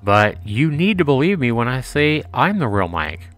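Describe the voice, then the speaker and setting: A man voicing a bewildered, defensive young man in a visual novel, halting and earnest as he pleads. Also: medium pitch, very animated, fairly bright tone, no room sound, soft background music.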